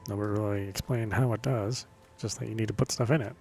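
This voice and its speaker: A man with a fairly low voice comments casually on a video game as he plays. He rambles and thinks aloud in a wry way, with clearly audible music behind him. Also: steady tone, fast, a little mellow. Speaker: gaming streamer. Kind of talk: casual let's play commentary.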